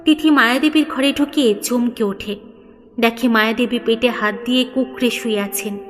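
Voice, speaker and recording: Woman storyteller, high voice, dramatic narration, reverberant, music behind. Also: normal speed. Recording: long reverb, background music.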